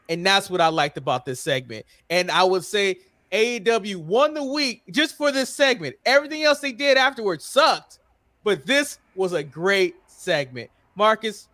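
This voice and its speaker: A man's voice: sits high, a bit bright. A man hosting a wrestling podcast, giving opinionated recaps in a blunt, emphatic and sometimes profane way. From United States.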